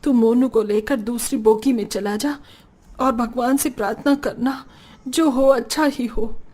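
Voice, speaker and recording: High-pitched, emotional household woman acted. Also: unhurried, dark tone. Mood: worried. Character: anxious, devoted women of a poor household, mother-in-law and wife. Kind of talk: melodramatic Hindi cartoon family drama.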